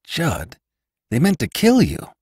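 A man's voice: fairly high pitch, wildly swooping pitch, slow, darkish tone, slightly rough, no room sound, studio quality, pitched above the performer's own voice, exaggerated voice. A man voicing a grim, determined young cowboy hero in a western audiobook, speaking in a terse, decided way. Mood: grave. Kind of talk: audiobook character acting.

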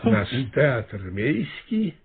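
A man playing a pompous, scheming small-town mayor in a radio comedy, speechifying with hesitant pauses. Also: mid-range voice, sing-song, narrow, tinny audio, a loud crowd, heavily acted. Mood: solemn, grave. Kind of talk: radio comedy drama acting.

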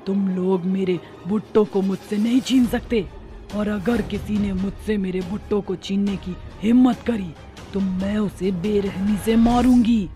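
Woman voicing a menacing, mellow-voiced witch ghost. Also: medium pitch, measured pace, soft background music, lightly acted. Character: vengeful ghost witch of a dead girl, menacing, aggrieved. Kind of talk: Hindi horror cartoon dialogue.

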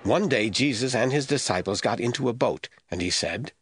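A man narrating a Bible audio drama as scripted, expressive storytelling. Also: medium pitch, normal speed, slight background noise.

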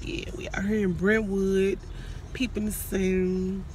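A woman in her thirties chatting casually and slangily to viewers as a vlogger, animated and often eating, filming herself inside a car. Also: medium pitch, takes it slowly. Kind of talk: casual vlog chat. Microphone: phone at arm's length. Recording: noisy in-car phone recording.

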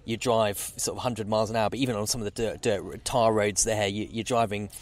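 Low-voiced hesitant man in his forties. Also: steady tone, a little fast. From Zambia. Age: 40s. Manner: rambling, hesitant, many restarts and "sort of". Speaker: guest oceanographer. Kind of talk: casual interview.

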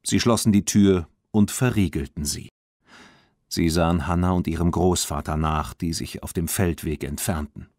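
A man reading a children's audiobook as narrator in an expressive storytelling manner. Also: sits low, moderate pace, no room sound, clean studio sound.

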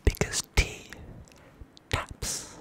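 A young man whispers very close to the microphone in an ASMR roleplay as a shady, secretive dealer, breathy and furtive, often repeating words over and over. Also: drawn-out words. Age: young adult. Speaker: ASMR performer. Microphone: close studio microphone at the mouth.

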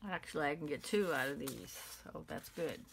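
A woman with a low, gravelly voice talks unhurriedly as she works on cards at her craft table. She mutters measurements under her breath in a low-key way. There is steady hiss in the recording, with paper rustling and cutter clicks. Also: moderate pace. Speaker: paper craft channel host. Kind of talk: casual talk while cutting paper for cards. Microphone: overhead camera over a craft table.

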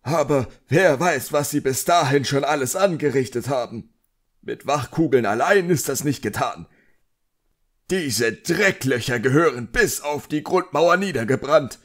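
An irascible, self-important middle-aged magician played by a male narrator in an audiobook. He pitches his voice well above a relaxed narration and barks out bitter, emphatic complaints. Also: unhurried. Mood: indignant ranting. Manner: barking, emphatic, indignant. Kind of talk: audiobook character dialogue.

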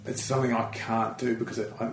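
Middle-aged Australian comic, gravelly, self-deprecating, roomy echo. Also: male voice, medium pitch, flat delivery, fast talker. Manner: rambling, self-deprecating, trails off. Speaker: comedian guest. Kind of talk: casual chat. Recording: echoey room.

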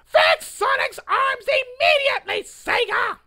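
A man's voice: very high voice, measured pace, pushed and bright, voice pushed up, exaggerated voice. A young man doing a mock dramatic reading as a whiny, pompous, indignant young male internet protester, stilted and melodramatic. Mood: outraged. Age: young adult. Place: living room at home, indoors.

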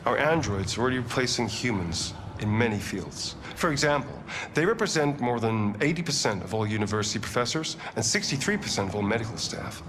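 Confident male tech founder, measured game-cinematic interview delivery by a male actor, animated intonation, clear background noise. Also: medium pitch, natural voice. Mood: confident, explanatory. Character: self-assured male tech founder giving a tour. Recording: clear ambient noise behind voice.

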